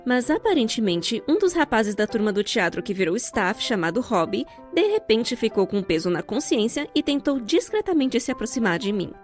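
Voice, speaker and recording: A woman's voice: fairly high pitch, very animated, normal speed, few pauses, faint music behind. A young adult woman with a Brazilian accent giving scripted, comedic storytime narration in a theatrical, wry way. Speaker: storyteller, fan-dub voice actor.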